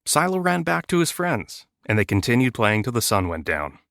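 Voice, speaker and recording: A man reads a children's story as a guest storyteller. His voice sits at a middling pitch, recorded dry with no room around it. The delivery is that of storybook narration. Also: very animated, normal speed, creaky, a bit bright, no echo.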